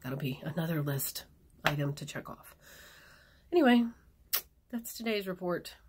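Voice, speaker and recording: Low husky woman vlogging casually. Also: expressive, unhurried, a little mellow. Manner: chatty, frank, rambling with fillers. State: hoarse after surgery. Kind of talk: casual video diary.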